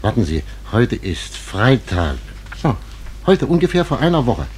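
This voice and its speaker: An actor playing a talkative, matter-of-fact small-town undertaker who gossips, in a radio drama. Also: male voice, mid-range voice, big pitch swings, measured pace, darkish tone, a little hiss or hum. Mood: matter-of-fact, gossipy. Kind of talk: theatrical radio drama acting.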